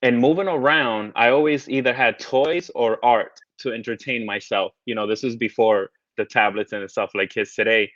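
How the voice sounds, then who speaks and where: A man in his thirties speaks as an interview guest at a middle pitch, often stopping to restart his words and filling gaps with ums. The recording is dry and dull, like a video call. He talks in a loose, casual way. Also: normal speed, hardly any pauses, a bit bright, dry recording. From United States, raised partly in the Caribbean. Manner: hesitant, many restarts and fillers. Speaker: guest, tarot artist.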